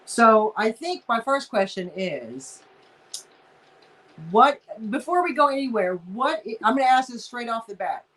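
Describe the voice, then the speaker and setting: A woman's voice: on the high side, big pitch swings, a little slow, fairly bright tone. A woman from Texas with a slight Texas accent, hosting a casual live chat and greeting viewers in a folksy way over a video call. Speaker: livestream host.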